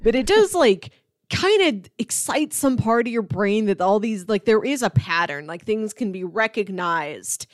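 A woman co-hosts a casual film podcast in a mid-pitched voice. She talks enthusiastically and conversationally, often dropping in "like" as she goes. The sound is dry, with hardly any room to it. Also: lively intonation, unhurried, dry recording. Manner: enthusiastic, conversational, peppers talk with "like". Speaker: podcast co-host. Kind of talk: casual film discussion chat.

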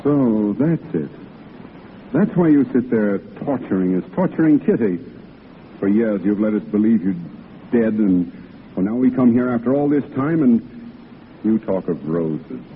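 An actor playing an earnest, protective gentleman friend, formal and emphatic in 1940s radio drama style. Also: lively intonation, unhurried, slight background noise. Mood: reproachful. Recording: rumbly, phone-like old radio recording.

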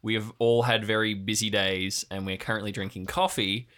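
A man's voice: lowish voice, flat delivery, normal speed, fairly bright tone. A man casually hosting a role-play podcast with dry, teasing humour. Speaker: podcast host, game master.

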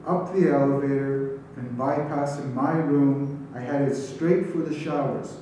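A man with a dark, mellow voice reads a personal story aloud. He is some way from the mic in a very echoey room. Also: medium pitch, unhurried. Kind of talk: reading a written first-person story aloud. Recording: distant, very echoey room, faint noise.

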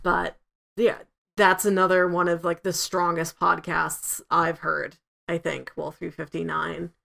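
A woman's voice: fairly low pitch, flat delivery, measured pace, gravelly, a bit bright. A woman on a podcast panel giving recommendations in casual, informal talk full of filler "like".

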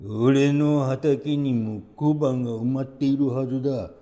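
A man voicing a greedy, spiteful, short-tempered old villager with gloating, forceful exclamations in a storybook tale. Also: medium pitch, fairly even intonation, a little slow, in a large hall, lightly acted. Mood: greedy, impatient.

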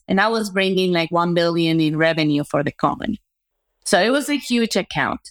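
A woman's voice: medium pitch, fairly bright tone. A woman, a guest telling her career story in a reflective way and often asking "right?". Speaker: guest entrepreneur. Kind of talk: conversational interview storytelling.

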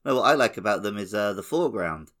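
A man's voice: fairly low pitch, expressive, fast, a bit bright. A man co-hosting a video game podcast in an informal, slangy, conversational way. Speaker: podcast co-host. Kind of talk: casual video game chat.

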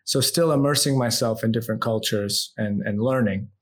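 A man from the United States, a podcast guest, telling his life story in a relaxed, reflective way and dropping low and soft as he muses. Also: normal speed. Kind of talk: storytelling in an interview.

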